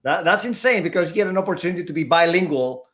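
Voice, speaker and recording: A man's voice: high voice, expressive, quick pace, a bit bright. A middle-aged man from Greece, chatting animatedly as a guest on a developer livestream. He restarts his sentences often while he talks. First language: Greek. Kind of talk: casual chat on a livestream.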